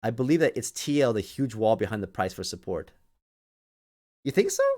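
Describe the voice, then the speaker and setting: A man's voice: on the low side, animated, normal speed, a little mellow. Man casually hosting a livestream. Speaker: livestream host. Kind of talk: casual live commentary to viewers.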